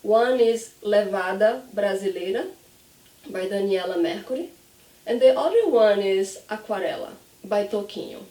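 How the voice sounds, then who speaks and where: Brazilian woman teaching, mellow voice, roomy echo. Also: mid-range voice, expressive, normal speed. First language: Portuguese. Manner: clear demonstrative enunciation, repeats example words. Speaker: language teacher. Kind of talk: instructional pronunciation lesson. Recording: roomy echo, distant microphone.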